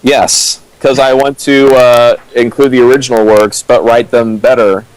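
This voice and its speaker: Young male co-host, mid-pitched, dry wry delivery, casual. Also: flat delivery, unhurried, low-quality recording. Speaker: co-riffer. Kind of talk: casual comedic commentary.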